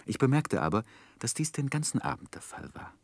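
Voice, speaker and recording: An actor playing a reflective gentleman narrator recalling his youth in a 1960s radio play, measured and literary. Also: medium pitch, sing-song, no echo.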